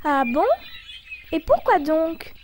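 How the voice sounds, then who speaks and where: A woman voicing a small, curious and cheeky animal friend in a children's show, squeaky and questioning. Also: sing-song, slow, slight background noise, exaggerated voice.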